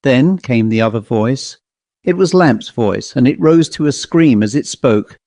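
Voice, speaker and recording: A man's voice: medium pitch, expressive, a little slow, mellow, dry recording, clean studio sound. A man reading a scripted ghost story as an audiobook narrator.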